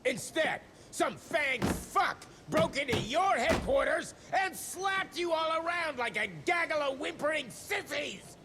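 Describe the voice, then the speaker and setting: An actor playing a foul-mouthed, furious male government secretary who shouts profanity in a gravelly voice. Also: very high voice, expressive, measured pace, hardly any pauses, noisy background, full character voice. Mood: ranting. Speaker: cutscene character.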